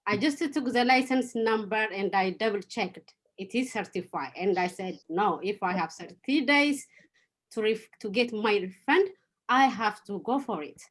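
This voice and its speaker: A woman's voice: medium pitch, normal speed, poor audio. A woman from Ethiopia in her thirties, telling her own story on a video call, with many fillers and restarts. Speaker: guest speaker. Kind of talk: personal story talk.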